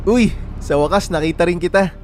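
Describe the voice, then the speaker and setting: A man acts out a young Filipino bachelor in a radio drama, friendly and candid. His voice is on the high side for a man, with a casual conversational way of talking. Music plays faintly behind him. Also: brisk, natural voice. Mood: friendly, eager.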